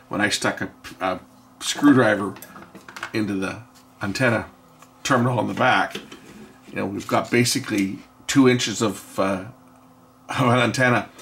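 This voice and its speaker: A man giving an unscripted, hands-on technical explanation in a halting way, often pausing and repeating himself to correct what he says. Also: on the low side, vocal fry, a bit bright, slight background noise. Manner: halting, self-correcting, with frequent pauses and repeats. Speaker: host of an electronics repair channel.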